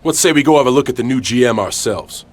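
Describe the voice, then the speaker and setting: A man's voice: mid-range voice, expressive, quick pace, lightly acted. A man playing a stoic, defiant wrestling hero with a dry, plain-spoken manner in a video game cutscene. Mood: dry, wry.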